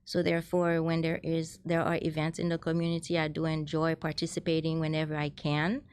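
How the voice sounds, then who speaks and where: A woman's voice: low-pitched, monotone, fairly quick, darkish tone, smooth, no echo. A middle-aged woman from Haiti, a guest in a casual interview, talking earnestly with many restarts. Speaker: guest, transit ambassador.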